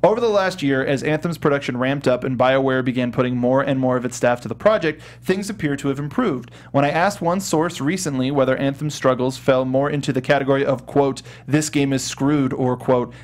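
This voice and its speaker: A man's voice: mid-range voice, a little fast, hardly any pauses. A man hosting a gaming talk show, running through news items in a casual, conversational way. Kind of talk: casual news rundown and chat.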